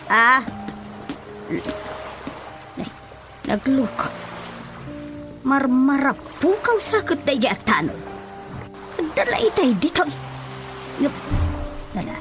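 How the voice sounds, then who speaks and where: Casting: feisty, excitable office worker, high swooping voice, comic radio drama, easily rattled. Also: female voice, exaggerated voice. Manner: expressive, exclaiming. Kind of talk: radio drama comedy acting.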